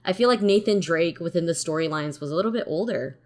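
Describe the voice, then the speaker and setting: Female co-host, low-pitched voice, conversational and tentative, informal movie review. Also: lively intonation, fast talker. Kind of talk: casual film review chat.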